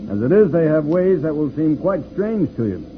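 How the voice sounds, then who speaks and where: An actor playing a calm, seasoned, fatherly spaceship commander who explains things reassuringly in an old-time radio serial. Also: on the high side, moderate pace. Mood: reassuring, instructive. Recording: tinny, noisy old radio recording.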